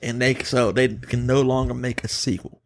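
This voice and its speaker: A man hosting a casual gaming podcast, giving opinions emphatically in a halting, self-correcting way. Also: mid-range voice, fairly even intonation. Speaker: podcast host.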